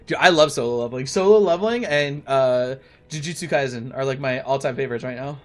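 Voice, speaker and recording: A man's voice: mid-range voice, fast talker. A man in his late thirties chatting casually and in a friendly way with viewers while he plays a game on a livestream, often thinking aloud. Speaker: live streamer playing a game.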